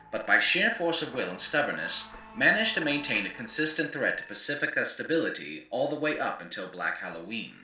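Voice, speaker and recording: An actor playing a grave, eloquent chronicler of a ruined world, narrating lore in formal, theatrical oratory for an audio drama. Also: male voice, on the low side, pushed and bright, echoey room, far from the mic, slight background noise, poor audio. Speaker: narrator and host. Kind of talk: scripted in-character lore narration for an audio series.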